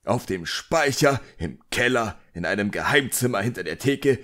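A man's voice: medium pitch, lively intonation, moderate pace, a bit bright, voice pushed up, close to an everyday voice. A man voicing an irascible, self-important middle-aged magician in an audiobook, barking his words with indignant emphasis. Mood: indignant ranting. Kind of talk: audiobook character dialogue.

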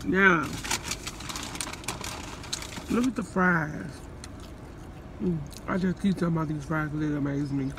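A woman in her thirties chatting casually and slangily to viewers as a vlogger, animated and often eating, filming herself inside a car. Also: sits low, a little slow, long pauses. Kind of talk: casual vlog chat. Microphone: phone at arm's length. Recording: noisy in-car phone recording.

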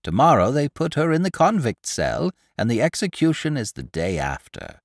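A man's voice: medium pitch, wildly swooping pitch, moderate pace, no room sound, clean studio sound, voice pushed up. A man voicing a stout, gossipy older woman shopkeeper in an audiobook, chattering in a breathless, exclamatory way. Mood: gossiping. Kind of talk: audiobook character reading.

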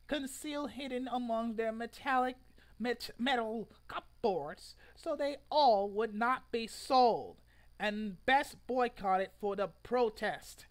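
Casting: young man playing a pompous, whiny male internet protester for a mock dramatic reading; very high, bright, swooping delivery, stilted and indignant. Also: exaggerated voice, poor audio. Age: young adult. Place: living room at home, indoors.

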